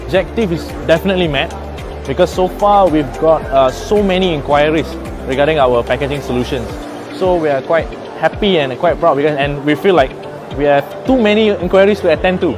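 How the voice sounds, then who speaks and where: A man's voice: on the high side, fairly quick. A man giving an interview testimonial as an exhibitor. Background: music.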